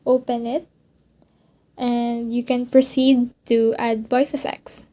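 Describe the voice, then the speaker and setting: A woman with a fairly high voice and a very soft, mellow tone narrates a how-to tutorial. She guides the listener through simple instructions one step at a time. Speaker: tutorial host.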